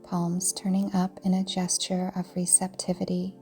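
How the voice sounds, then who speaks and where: A woman yoga teacher narrating a guided meditation in a soft, breathy, soothing way, deliberately, with pauses. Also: on the low side, completely flat delivery, faint music behind. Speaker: yoga nidra teacher guiding a practice.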